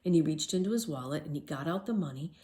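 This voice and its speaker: A woman reading a children's story aloud as a storyteller, expressive and emphatic. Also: low-pitched, fast talker.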